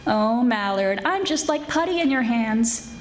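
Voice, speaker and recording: A woman playing a witty, tough private detective in a 1940s-style radio drama, with brisk wisecracking narration. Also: a little high, expressive. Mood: wry.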